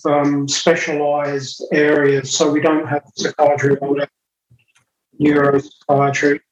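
A man's voice: fairly high pitch, reverberant, highs cut off. A man from England with a Cockney and Essex accent, a psychiatrist answering interview questions hesitantly with many fillers and repeated words. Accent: Cockney and Essex English. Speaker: psychiatrist guest.

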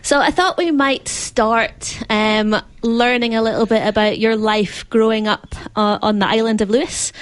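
A woman's voice: a little high, steady tone, a little slow, few pauses. A woman with a Scottish accent hosting a radio interview from a studio. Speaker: radio show host.

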